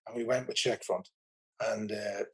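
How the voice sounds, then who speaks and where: A man's voice: lowish voice, steady tone, moderate pace, breathy edge. An Irish man talking as a guest in a casual interview, rambling and enthusiastic with many fillers and restarts. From Ireland. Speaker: tour operator guest. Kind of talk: casual video call interview.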